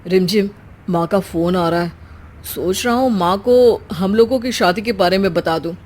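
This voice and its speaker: A woman voicing a young village man who moves to the big city, in an animated story. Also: medium pitch, moderate pace, voice pushed down, natural voice. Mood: earnest.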